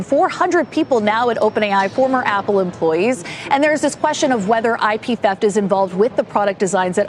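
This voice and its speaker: A woman's voice: mid-range voice, slight background noise. An American woman giving a live broadcast business news report in a polished, articulate way. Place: on location at a company headquarters.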